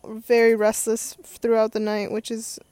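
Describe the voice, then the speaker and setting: A woman's voice: a little high, monotone, a little slow, dark tone, dry recording. A young adult woman talking in a casual, unscripted monologue as a podcast host, rambling and hesitant as she thinks aloud.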